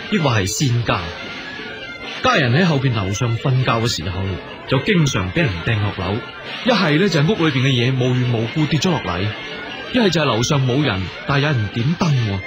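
An actor playing a wealthy household master in a radio drama, courteous, exclamatory and credulous. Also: male voice, medium pitch, close to an everyday voice. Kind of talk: Cantonese radio drama acting. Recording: music behind the dialogue.

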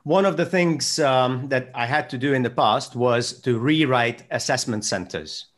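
A man in his forties from Hungary with a Hungarian accent, hosting a video call conversation in a measured, thoughtful way from his home office. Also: mid-range voice, a bit bright. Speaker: host. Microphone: desk mic on video call.

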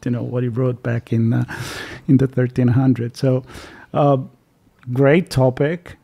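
A man's voice: mid-range voice, flat delivery, unhurried, gravelly, mellow. A middle-aged Italian man with an Italian accent, hosting a podcast and asking rambling, friendly questions. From Italy. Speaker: podcast host. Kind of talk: casual interview talk. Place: home studio room. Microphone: studio mic on arm.

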